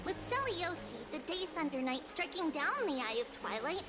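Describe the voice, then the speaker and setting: An actress playing a gentle, playful teacher figure who speaks lightly. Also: female voice, sits high, sing-song, normal speed. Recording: game audio over loud music.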